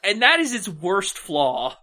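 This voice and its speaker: Bright, forceful podcast co-host. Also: medium pitch, sing-song, slow pace. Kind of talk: casual opinionated review chat.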